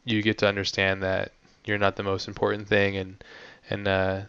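A man's voice: lowish voice, completely flat delivery, normal speed, creaky, a bit bright, clean tone, dry recording. A man from the United States hosting a podcast, chatting in a laid-back, joking way with lots of "like". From United States (San Diego, Hawaii). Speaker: podcast host. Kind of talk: casual interview chat. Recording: dry, lo-fi audio.

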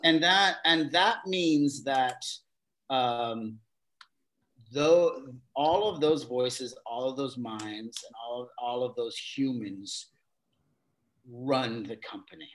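A man speaking as a guest in a casual interview, thinking aloud with frequent restarts and fillers, with animated bursts now and then. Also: mid-range voice, slow, rough recording. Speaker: guest, theater company facilitator.

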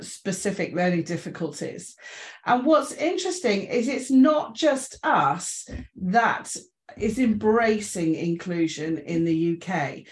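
A woman's voice: on the low side, a little mellow. A middle-aged woman with an English accent giving an informal presentation in a chatty, self-deprecating, reassuring way. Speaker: invited speaker, inclusion trainer.

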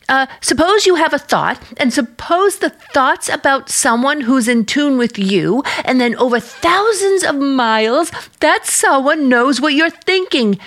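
High-voiced eager teenage girl. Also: fairly bright tone, heavily acted. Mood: excited, wondering. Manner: earnest, gushing, dreamy. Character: eager, idealistic small-town teenage girl, adoring niece. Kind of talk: radio play acting.

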